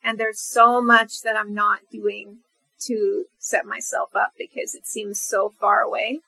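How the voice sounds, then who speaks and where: A woman with a bright, forward voice at an average pitch. Her intonation stays quite even as she muses aloud as a guest in a casual, reflective conversation. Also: measured pace, vocal fry, slightly rough, poor audio. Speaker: podcast guest.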